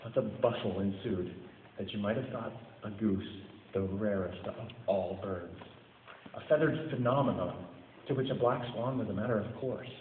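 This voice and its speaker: A man's voice: lowish voice, normal speed. A man giving a dramatic storybook reading in a theatrical, phrase-by-phrase delivery. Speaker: story reader. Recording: tinny, noisy, echoey hall recording.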